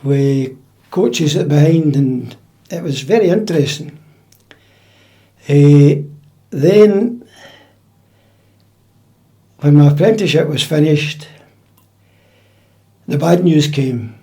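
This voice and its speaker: Elderly Scottish male interviewee, measured storytelling, mellow timbre, slightly high register. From central Scotland. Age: older, about 80. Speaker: interviewee, piping-band drummer. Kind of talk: conversational life-story recollection. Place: indoors at home.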